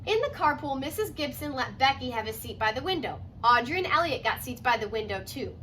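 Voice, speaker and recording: A woman with a high, bright voice reads a storybook to children in the manner of a teacher. Her delivery swings widely in pitch, leaning dramatically on key words. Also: big pitch swings, fairly quick, slight background noise. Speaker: teacher reading aloud to children. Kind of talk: expressive children's picture-book read-aloud.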